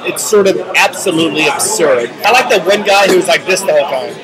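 A man's voice: high voice, lively intonation, quick pace, crowd noise. A young man co-hosting a casual chat about a show, playful and chatty, filmed up close at a restaurant table. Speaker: co-host. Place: busy restaurant bar at night. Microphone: camera held close at the table. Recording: loud bar music behind.

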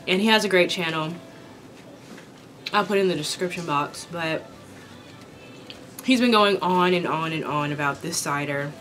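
A young woman from Kentucky chatting casually while eating, her voice raspy from a sore throat, rambling with many ums. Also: lowish voice, moderate pace, long pauses, a bit bright, slight background noise. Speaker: vlogger. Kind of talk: casual mukbang chat. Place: small indoor room with dark curtain backdrop.

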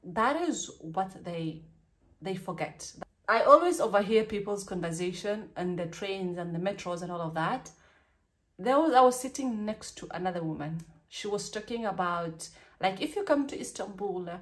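A young woman in her twenties with a Kenyan accent, chatting candidly and animatedly in a storytime vlog recorded in a small bedroom. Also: mid-range voice. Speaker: vlogger.

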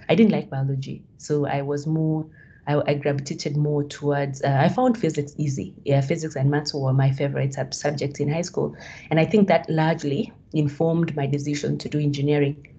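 A woman's voice: low-pitched, level tone, brisk, dark tone, husky. A woman from Kenya in her thirties giving a hesitant talk on a video call, with many fillers and restarts. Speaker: guest speaker. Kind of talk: online presentation on a video call. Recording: dull lo-fi call audio.

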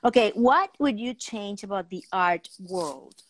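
A woman in her fifties hosting a casual interview on a video call, pausing often as she searches for words. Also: mid-range voice, animated. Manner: hesitant, pausing mid-sentence to search for words. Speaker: talk show host. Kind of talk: casual interview chat about art. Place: indoor room at home, on a video call.

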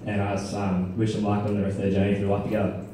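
A man's voice: fairly low pitch, completely flat delivery, fast talker, very noisy. A young man giving a casual, rambling wedding speech as a friend of the groom. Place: wedding reception hall. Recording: echoey crowded hall from far off.

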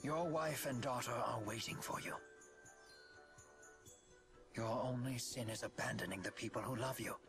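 A man voicing a courteous, dignified old gentleman in a game cutscene, speaking formally and graciously. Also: medium pitch, moderate pace, vocal fry, pauses often, over music, natural voice. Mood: earnest. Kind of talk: scripted game cutscene acting.

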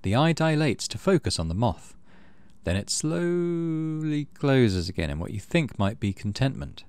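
A man's voice: medium pitch, big pitch swings, a little slow, a little mellow, clean tone, dry recording. A man giving casual let's play commentary, thinking aloud and reading game text as he goes. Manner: thinks aloud, muttering through choices. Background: game music.

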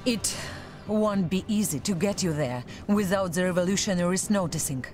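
A woman's voice: fairly low pitch, moderate pace, over music, natural voice. Woman acting as resolute, commanding female officer. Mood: measured. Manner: urgent, commanding, earnest. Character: loyal, resolute female military officer and former security chief. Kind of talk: video game cutscene acting.

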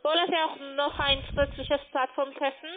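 A woman speaking non-native German, giving a scripted cold-call sales pitch. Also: flat delivery, normal speed, slight background noise. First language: not German. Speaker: cold caller selling trading platforms. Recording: muffled lo-fi phone line.

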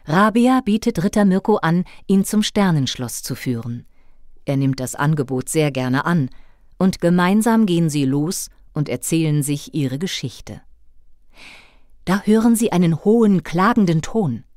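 Woman, children's story narrator, low voice, dry close sound, expressive storytelling. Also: unhurried, dry recording.

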